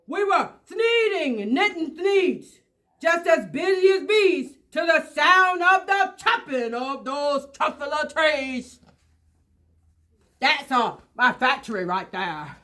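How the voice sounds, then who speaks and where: A woman reading a children's storybook aloud in a theatrical, halting way with fillers. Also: high-pitched, animated, fairly bright tone. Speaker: storybook reader at a library.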